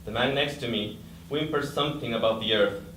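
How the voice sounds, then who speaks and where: Distant echoey room, faint noise, male actor as numb suffering captive, measured stage delivery. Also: medium pitch, a bit bright, close to an everyday voice. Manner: short, plain, measured sentences. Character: suffering bound prisoner, numb and stoic. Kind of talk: staged dramatic reading, monologue. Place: live staged reading indoors.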